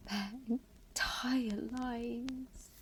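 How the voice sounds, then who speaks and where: A woman playing a weakened, haunted lone survivor in a horror radio play, speaking in halting, breathy, whispered fragments. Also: fairly high pitch, steady tone, slight background noise. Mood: bitter, hushed. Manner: halting, fragmented phrases with long pauses. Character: dying lone female survivor, entangled, haunted, bitterly resigned.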